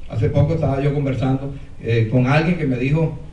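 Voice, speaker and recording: A man's voice: medium pitch, brisk, lo-fi sound, a little hiss or hum. Venezuelan man lecturing in an echoey hall. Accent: Venezuelan Spanish. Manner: animated storyteller with frequent fillers and restarts. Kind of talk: conference lecture with anecdotes. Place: conference hall. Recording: roomy hall, mic far off.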